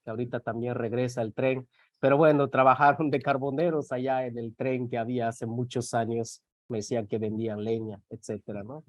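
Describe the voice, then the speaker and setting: A man's voice: medium pitch, fairly even intonation, a little fast, no room sound. Maya-accented guy on a video call, lots of ums, dry close sound. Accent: Yucatec Maya. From Mexico. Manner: hesitant, many fillers. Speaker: panelist. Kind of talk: online panel talk.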